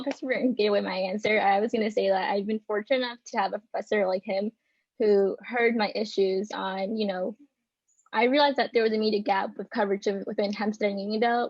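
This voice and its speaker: A woman's voice: mid-range voice, steady tone, quick pace, dry recording. A young woman speaking as a student journalist on a video-call panel, earnest and polite. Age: young adult. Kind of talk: virtual panel discussion. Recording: dull, dry video-call audio.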